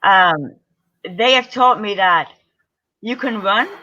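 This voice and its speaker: A middle-aged woman tells stories as an interview guest, lively and acting out the people she quotes. Her voice is bright and forward. The audio is dull and lo-fi, like a remote call. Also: medium pitch, slow pace, a little hiss or hum. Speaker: guest ultra-distance triathlete. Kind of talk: casual interview storytelling.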